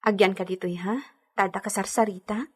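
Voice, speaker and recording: A woman with an Ilocano accent playing a gentle, anxious young lady in a radio drama. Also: mid-range voice, fairly even intonation, a little fast, breathy edge, natural voice.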